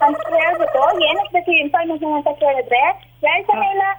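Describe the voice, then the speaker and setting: A woman's voice: extremely high pitch, fast talker. A woman with a Karnataka Kannada accent talking on a phone call, questioning the other speaker insistently. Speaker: prank call recipient. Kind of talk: phone conversation. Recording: very noisy phone line.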